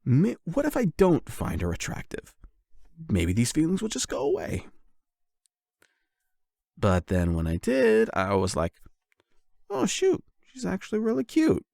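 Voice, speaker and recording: A man's voice: sits high, huge pitch swings, unhurried, gravelly, pauses often, warm tone, no room sound, studio quality, exaggerated voice. A man acting a shy, flustered young man confessing love to an online friend in a hesitant, rambling ASMR boyfriend roleplay. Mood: flustered, giddy. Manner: hesitant, rambling, nervous chuckles.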